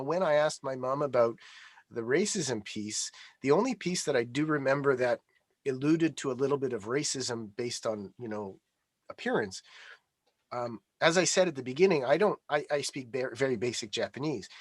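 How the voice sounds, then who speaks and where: A man's voice: medium pitch, dry recording. A middle-aged man, talking as a guest in a conversational interview, hesitant with many restarts. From Canada.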